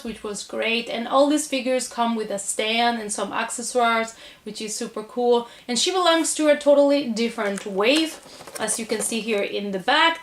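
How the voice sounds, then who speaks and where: A woman's voice: on the high side, fairly bright tone. Chatty, gushing woman showing off figures. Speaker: horror and collectibles reviewer. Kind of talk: casual haul video chat.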